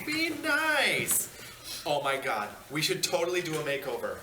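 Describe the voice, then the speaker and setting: Man playing a bubbly, animated male ghost. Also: fairly high pitch, unhurried, exaggerated voice. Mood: playful. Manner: playful, gushing. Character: friendly, bubbly, excitable male ghost sidekick. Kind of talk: table read of a comedy screenplay. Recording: echoey, noisy meeting room.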